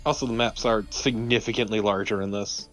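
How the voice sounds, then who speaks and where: A man's voice: lowish voice, fairly even intonation, fairly quick, fairly bright tone. A man chatting casually as a let's play co-host, informal and dryly sarcastic. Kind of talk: casual gameplay commentary. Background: game music.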